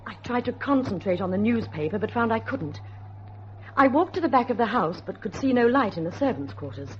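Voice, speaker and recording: A woman's voice: a little high, big pitch swings, brisk. A woman playing an anxious, determined young niece in a suspenseful radio drama, speaking and narrating in an earnest, literary way. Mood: tense, uneasy. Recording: muffled old radio recording, faint noise.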